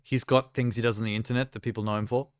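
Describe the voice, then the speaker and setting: A man's voice: medium pitch, level tone, rapid-fire, clear, resonant tone, no echo, clean studio sound. A man from South Africa giving casual, off-the-cuff reactions as a live streamer, with wry, mocking asides.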